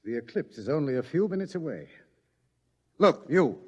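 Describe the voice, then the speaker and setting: An actor playing a sardonic, world-weary scientist in a radio drama, wry and theatrical in delivery. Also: male voice, on the high side, very animated, moderate pace, breathy edge, long reverb tail. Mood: urgent, firm. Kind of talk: mid-century radio drama acting.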